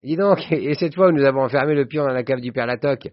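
A man voicing a boisterous, vulgar, jovial rich old school friend in an audiobook, loud and exuberant. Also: mid-range voice, fast, voice pushed up, lightly acted. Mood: jovial, nosy. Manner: loud, exuberant, back-slapping familiarity. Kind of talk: audiobook character voice. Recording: dull, roomy sound.

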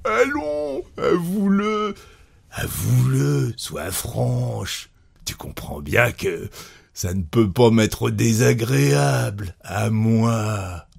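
Man acting an excitable, insistent husband, swooping pitch. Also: slow pace, vocal fry, slightly rough, dry recording, studio quality, full character voice. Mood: gleeful, coaxing. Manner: insistent, repeating himself. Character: jovial, insistent husband turning jealous and furious.

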